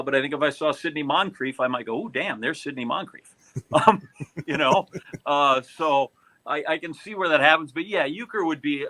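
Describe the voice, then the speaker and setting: Mature American male sports veteran, bright forceful tone, halting, meandering podcast recollections. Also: medium pitch, low-quality recording. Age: middle-aged or older. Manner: halting, rambling, conversational. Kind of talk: casual reminiscing chat.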